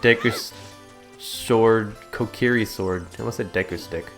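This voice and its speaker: A young man giving casual, rambling commentary while playing a game, in a fairly monotone way. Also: fairly low pitch, normal speed, soft background music. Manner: rambling, self-described monotone. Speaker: let's play host.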